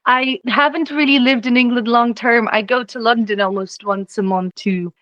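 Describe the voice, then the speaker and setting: A woman's voice: fairly high pitch, fairly even intonation, normal speed, fairly bright tone, dry recording, muffled recording. Chatty young woman, dry close sound. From Czechia. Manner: chatty, rambling, frequent "you know", laughs easily. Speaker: singer-songwriter guest. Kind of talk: casual interview chat.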